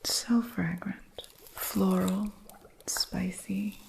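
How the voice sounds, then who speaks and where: A woman voicing an eccentric witch who runs a curio shop, talking in a soft, fond, matter-of-fact ASMR whisper. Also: mid-range voice, flat delivery, extremely slow, vocal fry, noisy background. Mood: gentle whisper. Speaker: ASMR roleplay performer. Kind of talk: ASMR roleplay.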